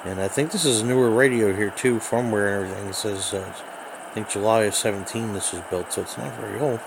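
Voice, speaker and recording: Low-voiced man, halting, with background noise. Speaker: amateur radio reviewer. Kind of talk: casual unscripted product review. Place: indoors at home. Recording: clear background noise, home recording.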